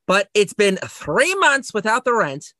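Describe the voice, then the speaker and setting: Awkward, fed-up landlord, played by an actor, halting delivery, bright edgy tone with lively pitch swings. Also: no room sound, studio quality. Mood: awkward, steamed. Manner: halting, hesitant, repeating himself. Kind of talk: comic audio sketch.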